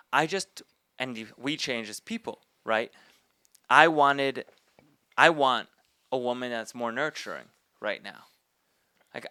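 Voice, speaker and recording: A man's voice: mid-range voice, bright, forceful tone, clear, resonant tone, no echo, clean studio sound. A man in his twenties hosting a podcast, talking in a candid, reflective way. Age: 20s. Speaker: podcast host. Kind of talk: casual podcast conversation.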